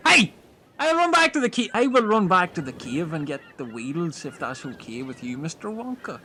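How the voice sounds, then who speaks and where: A man playing an excitable, silly castaway who giggles and makes crude jokes, in an amateur comedy audio drama. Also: fairly high pitch, moderate pace, slight background noise. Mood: cheeky.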